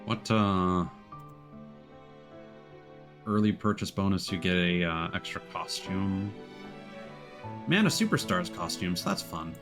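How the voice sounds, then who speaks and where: A man's voice: low-pitched, expressive, slow, long pauses, fairly bright tone. A man giving casual gaming commentary, thinking aloud and sometimes reading on-screen text. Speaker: streamer. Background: game music.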